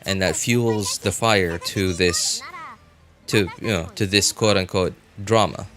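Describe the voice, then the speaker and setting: A man's voice: deep voice, steady tone, takes it slowly, fairly bright tone, faint music behind. A man chatting casually as a game streamer, thinking aloud in a rambling, repetitive way. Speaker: game livestreamer. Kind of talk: casual livestream chat.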